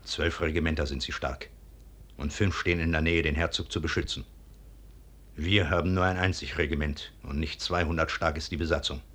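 An actor playing a proud, ruthless low-born officer in a classical verse radio drama, speaking in an insistent, declamatory way. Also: male voice, fairly even intonation, brisk, a bit bright. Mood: grim, secretive.

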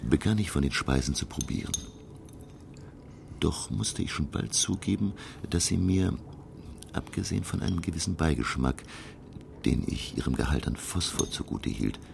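A courteous old-world scholar in a radio drama, speaks in an extremely deep, gravel-laden voice. His delivery is deliberate and literary, slipping toward breathiness. Noticeable ambient noise lies behind him. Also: lively intonation, full character voice. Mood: reflective. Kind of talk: radio drama dialogue and narration. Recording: clear background ambient noise.

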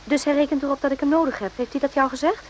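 A woman playing a guarded, correct doctor in a radio play, speaking in a measured, formal way. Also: sits high, fairly even intonation, muffled recording, close to an everyday voice. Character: uneasy, firm female army doctor, correct and guarded. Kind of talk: radio drama acting with narration. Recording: noisy old radio play recording.

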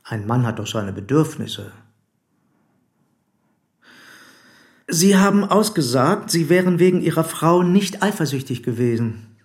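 An actor playing a probing, formal police commissioner in a German radio play, speaking deliberately and insistently. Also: male voice, a little high, sing-song. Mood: pressing.

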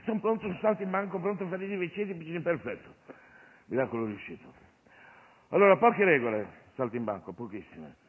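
A man's voice: fairly high pitch, lively intonation, brisk, breathy edge, pitched above the performer's own voice. A man playing an irreverent, streetwise Jesus, commanding and jocular in a colloquial comic stage monologue. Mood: excited, bossy. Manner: commanding, colloquial, mock-preaching. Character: irreverent streetwise Jesus, bossy, jocular master miracle-worker. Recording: phone-like radio broadcast of stage show.

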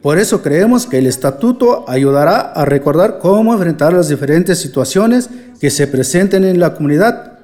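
An actor playing a calm, formal village leader addressing an assembly ceremoniously in a radio drama. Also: male voice, a little high, normal speed, close to an everyday voice.

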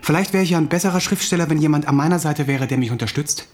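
An actor playing a young writer in a radio play, insecure and proud, with a halting, accusing way of talking. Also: male voice, fairly high pitch, natural voice. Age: young adult. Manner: halting, repeats words, accusing. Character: insecure, touchy young male writer, wounded pride.

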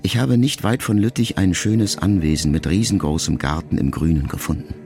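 An actor playing a wounded, reflective ageing son in an intimate radio drama monologue, speaking in a measured, gravelly voice. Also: male voice, low voice, darkish tone. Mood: reflective, troubled. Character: grieving ageing son addressing his dying mother, wounded, reflective.

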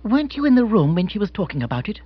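A man voicing an excitable, breezy upper-class English lady in a storybook, emphatic and slangy. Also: high voice, racing, breathy edge, no echo, lo-fi sound, pitched above the performer's own voice, heavily acted. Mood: breezy, curious.

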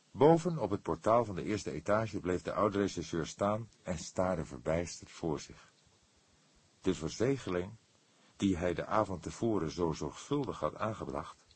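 A man's voice: low-pitched, lively intonation, a little slow, long pauses, breathy edge. A man narrating a scripted detective story as an audiobook reader.

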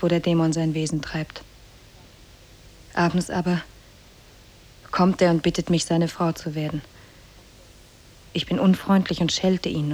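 A woman's voice: sits low, a little mellow. A woman playing a beautiful, tender and cunning captive wife in a 1950s German radio play. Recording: old radio tape, faint noise.

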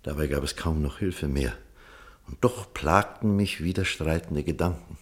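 A low-pitched, earnest male doctor in a German radio play. He speaks firmly and with urgency, reasoning like someone responsible for a patient's life. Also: normal speed. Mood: grave narrating. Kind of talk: German radio drama acting and first-person narration.

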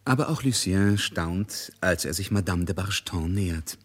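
An actor narrating a literary radio drama in a measured, emphatic, worldly manner. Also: male voice, sits low. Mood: dramatic narrating.

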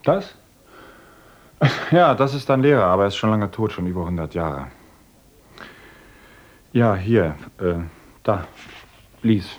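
An actor playing an earnest, idealistic young village teacher in a radio play who lectures eagerly and swings between gentle talk and rapturous visions. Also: male voice, lowish voice, expressive, slow pace, long pauses, dull audio. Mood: earnest, dreamy.

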